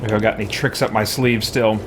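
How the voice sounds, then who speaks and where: A man's voice: fairly low pitch, fairly even intonation, brisk. A man hosting a let's play, thinking aloud in casual commentary as he goes. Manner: thinks aloud, muses to himself. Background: game music.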